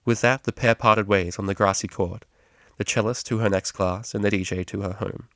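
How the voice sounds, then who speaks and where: Low-pitched man narrating a story. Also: fairly even intonation, moderate pace, fairly bright tone, no echo, clean studio sound. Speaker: amateur fan-fiction reader. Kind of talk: scripted story narration with character voices.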